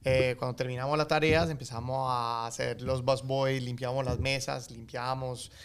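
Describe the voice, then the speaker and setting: A middle-aged man with a Colombian accent, telling his life story as a guest in a relaxed interview, full of fillers and restarts. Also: medium pitch, normal speed, clear, resonant tone. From United States, Colombian family. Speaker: guest, actor and coffee entrepreneur. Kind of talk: casual interview storytelling in Spanish.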